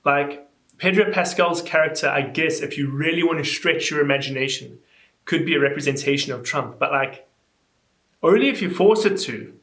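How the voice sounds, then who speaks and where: Animated young man, echoey room. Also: moderate pace. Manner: animated, incredulous, rising pitch when exasperated. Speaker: YouTuber. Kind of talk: casual reaction vlog, reading comments aloud.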